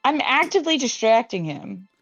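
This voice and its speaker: A woman's voice: sits high, big pitch swings, normal speed, no echo. A woman in casual, argumentative banter as a player at a roleplaying game table. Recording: dry, muffled audio with music underneath. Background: background music.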